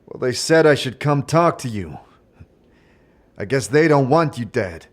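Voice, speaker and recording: A man performing scripted narration and dialogue in an audio drama, in a measured, deliberate manner. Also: medium pitch, lively intonation.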